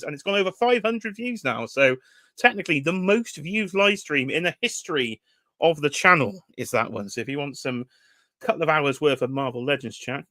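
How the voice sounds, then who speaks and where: Bright-voiced British man hosting a toy livestream. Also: mid-range voice, no room sound. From United Kingdom. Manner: chatty, teasing, reads chat names aloud. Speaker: livestream host. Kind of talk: casual livestream chat.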